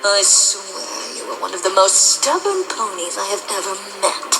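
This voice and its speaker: A woman's voice: mid-range voice, sing-song. A woman playing a menacing, impatient shadow pony spirit in an audio drama, mocking and taunting, sometimes hissing. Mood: exasperated, scornful. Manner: slow, taunting, drops into hissed whispers. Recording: music behind the voice.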